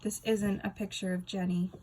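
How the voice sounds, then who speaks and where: A woman reading a children's book aloud as a storyteller, with expressive, dramatic and emphatic delivery. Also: medium pitch, moderate pace, breathy edge, rough recording.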